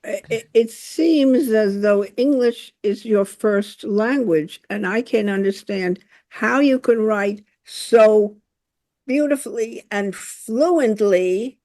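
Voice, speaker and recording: Older lady dancer on a podcast, slow and effusive, dry sound. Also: medium pitch, dry recording. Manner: warm, effusive, unhurried. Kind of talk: conversational interview.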